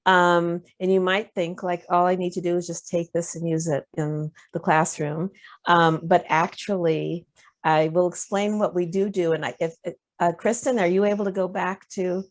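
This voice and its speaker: A woman with a lowish voice leads a workshop over a video call. She talks in a loose, conversational way, often pausing on "um" as she gathers her thoughts. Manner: relaxed, conversational, with frequent fillers. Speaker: presenter leading a discussion. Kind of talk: online workshop discussion.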